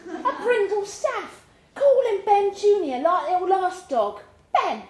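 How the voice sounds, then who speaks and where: A woman's voice: very high voice, big pitch swings, slow pace, a little mellow, voice pushed up, heavily acted. A woman voicing a devoted, hopeful London girlfriend in a live story reading, affectionate and chatty. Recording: roomy live pub recording, faint background noise.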